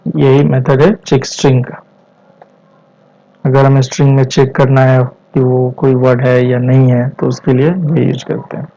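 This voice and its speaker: A man in his twenties with an Indian accent explains step by step as a tutorial instructor, in halting short phrases mixed with English terms. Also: mid-range voice, little pitch movement, vocal fry, mellow. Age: 20s. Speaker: programming instructor. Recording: dull, lo-fi voice recording.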